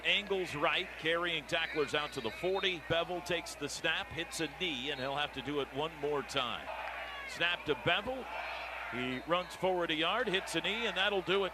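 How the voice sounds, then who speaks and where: American male play-by-play radio caller, higher register, terse urgent phrasing, noisy stadium broadcast. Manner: clipped, urgent running description. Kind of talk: live American football radio play-by-play commentary. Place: outdoor football stadium. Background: steady stadium noise.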